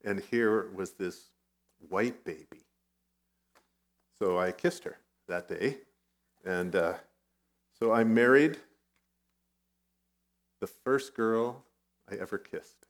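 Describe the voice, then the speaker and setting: A middle-aged man who grew up in Vietnam, a guest pastor giving a conversational sermon with personal stories, now and then clearing his throat. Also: lowish voice, slow, pauses often. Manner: conversational, self-deprecating storytelling.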